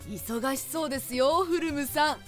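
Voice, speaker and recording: A very high, boyish voice acted by a woman for a put-upon young servant in a radio drama. He pleads and whines politely, always flustered. Music plays clearly underneath. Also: moderate pace, natural voice. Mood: hurried. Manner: whiny, pleading, polite junior speech.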